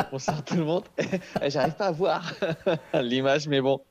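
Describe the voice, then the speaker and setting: A man's voice: a little high, wildly swooping pitch, slow, a loud crowd. A man in his thirties, a comedian guest, chatting easily over a video call from home, laughing often. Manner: easygoing, laughs often, many fillers. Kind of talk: relaxed interview chat. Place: small room at home. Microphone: earphone headset on video call. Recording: slightly dull call audio.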